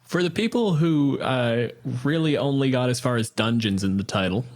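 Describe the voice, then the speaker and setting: A man co-hosting a casual podcast with a wry, joking edge. He speaks at a medium pitch and moves the conversation along with offhand quips. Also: animated, normal speed. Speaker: podcast co-host. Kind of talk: casual chat.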